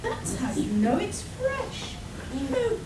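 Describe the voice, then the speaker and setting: A coy villainous queen acted by a woman, very high-pitched and slow, with sugary hospitality. She is in a live comic radio play, heard in an echoey room. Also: wildly swooping pitch, full character voice. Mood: coy, inviting. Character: villainous Weasel Queen, coy and over-sweet hostess. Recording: roomy, distant, noisy live stage recording.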